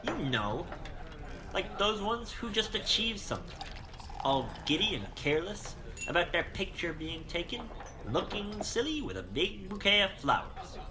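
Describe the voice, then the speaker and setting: A man's voice: high voice, big pitch swings, normal speed, music in the background, rough recording. A man playing an eccentric, unsettling stranger in a radio play, rambling theatrically and cryptically. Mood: whimsical, giddy. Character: eccentric, unsettling male stranger, whimsical turning sinister.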